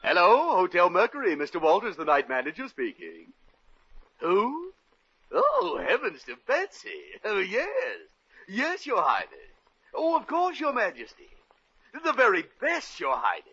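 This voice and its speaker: An actor playing a fussy, excitable hotel night manager, prissy and mock-polite, in 1950s radio comedy. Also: male voice, sits high, unhurried, breathy edge, no room sound, exaggerated voice. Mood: fawning excitement. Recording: dull, dry old radio recording.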